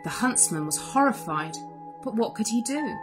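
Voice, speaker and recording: A woman narrating a children's story aloud in an expressive storytelling style. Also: a little high, moderate pace. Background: music.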